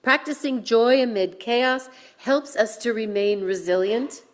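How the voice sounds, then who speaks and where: Female minister, medium pitch, unhurried deliberate sermon delivery with spaced-out phrases. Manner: deliberate, measured phrasing with pauses. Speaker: minister leading a worship service. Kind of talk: sermon with guided breathing.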